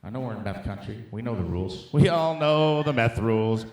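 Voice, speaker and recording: American stand-up comedian in an echoey room. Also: male voice, medium pitch, expressive, brisk, a little mellow. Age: middle-aged. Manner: conversational, profane, emphatic, addressing the crowd as dude and guys. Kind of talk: stand-up comedy set before a live audience. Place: indoor comedy venue with live audience.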